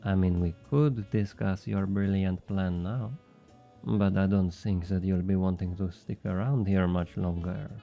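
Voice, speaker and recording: An actor playing a smug, flirty thief with a French accent, teasing and coaxing in an intimate ASMR roleplay. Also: male voice, low-pitched, steady tone, unhurried, creaky, lo-fi sound, soft background music. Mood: smug triumph. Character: obnoxiously flirty, smug male thief and information broker.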